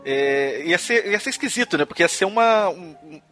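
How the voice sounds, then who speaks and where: A man's voice: sits high, expressive, normal speed, a bit bright, faint music behind. A Brazilian man hosting a podcast, chatting casually about history with frequent restarts and tag questions. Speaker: podcast host. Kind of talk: casual conversational chat on music history.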